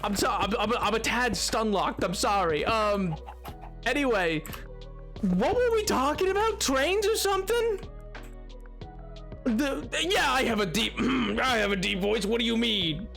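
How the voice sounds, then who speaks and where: A man streaming a game and chatting casually with his viewers, excitable and rambling, with many restarts and sudden yelps. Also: very high voice, measured pace, vocal fry, soft background music. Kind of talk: casual live-stream chat.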